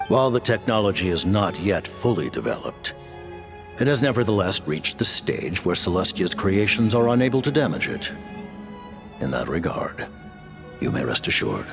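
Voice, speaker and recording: An actor playing a cold, formal leader in a video game, speaking in a measured, grave way. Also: male voice, low voice, big pitch swings, gravelly, exaggerated voice. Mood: calm. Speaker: Fatui leader. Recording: game cutscene with music.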